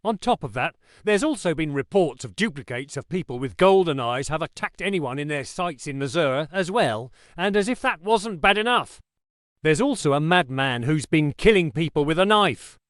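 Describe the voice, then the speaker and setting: A man acting as an earnest local TV newsreader. His voice sits a little high and sounds dry and close, presenting scripted news in a conversational anchor style. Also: lively intonation, moderate pace, dry recording, lightly acted. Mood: earnest, concerned.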